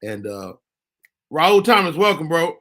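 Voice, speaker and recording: A man hosting a live chat show, laid-back and quick with interjections. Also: on the high side, sing-song, a bit bright. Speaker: host.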